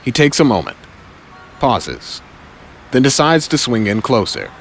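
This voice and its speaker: A man's voice: medium pitch, huge pitch swings, measured pace, creaky, a bit bright, soft background music. A man narrating scripted action in a dramatic audio drama style.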